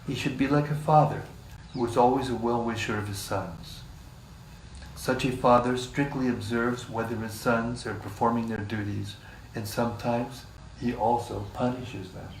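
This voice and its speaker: A man leading a scripture class, reading passages in a measured way and joking with the group between them. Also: medium pitch, fairly even intonation. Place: room with a live group. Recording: echoey room, distant mic, faint hiss.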